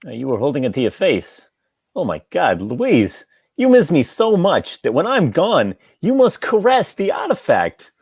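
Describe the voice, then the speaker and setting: A man's voice: on the high side, huge pitch swings, a little mellow, slightly rough, dry recording, studio quality, heavily acted. Man playing a swooping, flustered, lovesick suitor. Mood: flustered, elated. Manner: stammering, halting. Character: flustered, lovesick, excitable man who faints. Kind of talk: play table read.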